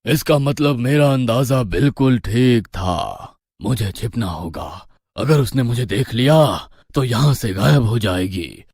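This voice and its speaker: A man's voice: mid-range voice, measured pace, few pauses, a little mellow, husky, no echo, studio quality. A man voicing a stern, protective village holy man with a grave, resolute and commanding manner in a horror story. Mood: wary. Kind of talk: animated horror story voice acting.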